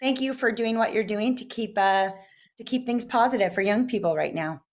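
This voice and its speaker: A woman's voice: on the high side, normal speed. A woman co-hosting a TV morning show, gushing and encouraging as she chats with a guest. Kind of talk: friendly interview chat.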